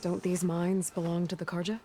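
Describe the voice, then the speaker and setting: An actress playing a direct, inquisitive video game heroine who asks probing questions in a composed manner. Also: female voice, fairly low pitch, little pitch movement, moderate pace, dark tone, natural voice. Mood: focused, composed. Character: direct, inquisitive adult heroine investigating trouble. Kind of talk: scripted video game dialogue.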